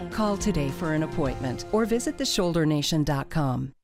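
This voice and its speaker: A woman reading a medical clinic ad in a smooth, reassuring way. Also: deep voice, animated, moderate pace, darkish tone, soft background music. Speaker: ad voice-over. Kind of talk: scripted ad read.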